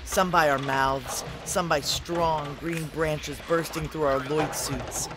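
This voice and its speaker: A hardened woman soldier turned part alien, narrating a science fiction audio drama. She speaks slowly and deliberately, with awe and intensity. Loud, sizzling effects surround her voice. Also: deep voice, steady tone, background noise. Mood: ecstatic awe. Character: tough woman soldier merged with alien moss, awed and exultant.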